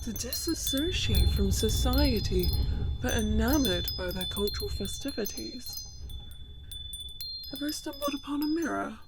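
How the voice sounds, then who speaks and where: A woman's voice: on the high side, animated, unhurried, very noisy. A woman playing a mysterious, brooding collector of stories and trinkets in an audio drama, musing theatrically in formal, archaic language. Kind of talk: scripted audio drama monologue.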